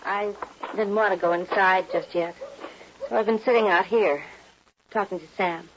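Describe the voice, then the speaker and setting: A woman's voice: mid-range voice, normal speed, a little hiss or hum, close to an everyday voice. An actress playing a wounded but resolute young saloon woman in an old-time radio western. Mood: subdued, resolved. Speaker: radio drama actor. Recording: dull old radio recording.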